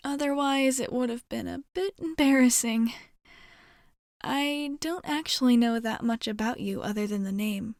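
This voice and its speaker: A woman acting a gentle, curious young alien rescuer in an ASMR roleplay, speaking softly and reassuringly, with some hesitation, close to the microphone. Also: a little high, normal speed, no echo, close to an everyday voice. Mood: apologetic, curious. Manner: soft, reassuring, hesitant, caring.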